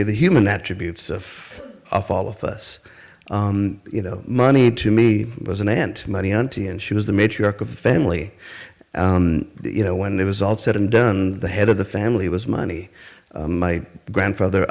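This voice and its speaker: Low-voiced man telling his life story. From India. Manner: reflective, storytelling, with fillers and soft laughs. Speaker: guest speaker. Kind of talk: personal reminiscence talk.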